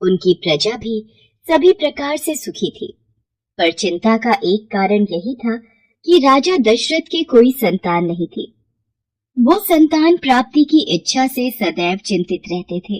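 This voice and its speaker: Expressive woman narrating, fairly high voice. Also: a little slow. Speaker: storyteller. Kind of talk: scripted devotional story narration.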